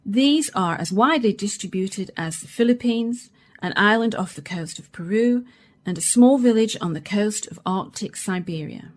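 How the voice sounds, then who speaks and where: An actress playing a serious radio announcer in a science fiction radio play, reading formally. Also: female voice, mid-range voice, lively intonation, normal speed, lightly acted. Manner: formal, orderly bulletin delivery. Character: serious female radio news announcer reporting alien crisis. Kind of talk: radio play acting as scripted news reading.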